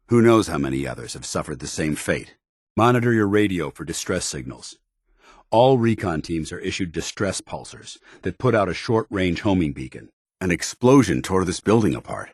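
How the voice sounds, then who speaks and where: An actor playing a stern, honor-bound soldier in a video game, speaking in a formal, commanding and earnest military way. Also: male voice, sits low, slightly rough, no room sound, clean studio sound. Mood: solemn, then commanding, tactical. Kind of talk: video game companion dialogue.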